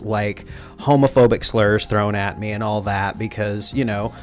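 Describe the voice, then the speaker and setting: An earnest young man from rural Texas, speaking in a middle-range voice with open feeling. He hosts a relaxed conversation, and a faint bed of music sits under his voice. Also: mid-range voice. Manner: earnest, emotional, talks with his hands. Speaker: podcast host. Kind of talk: casual conversation.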